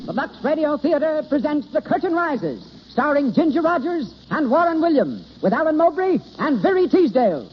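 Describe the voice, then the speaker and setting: A man's voice: extremely high pitch, big pitch swings, moderate pace, hardly any pauses. A man announcing a 1930s radio show in a formal, proclamatory showman's manner. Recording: dull 1930s radio recording, music behind.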